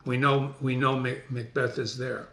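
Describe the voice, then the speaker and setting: A man's voice: mid-range voice, little pitch movement, unhurried, fairly bright tone. A man hosting a dramatic reading, breaking in with enthusiastic, teacherly, casual explanations. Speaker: host, reader and explainer.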